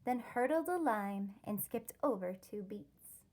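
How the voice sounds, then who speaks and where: A woman teacher reading a rhyming children's story aloud, expressively and with deliberate, careful wording. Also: medium pitch, animated, a little mellow.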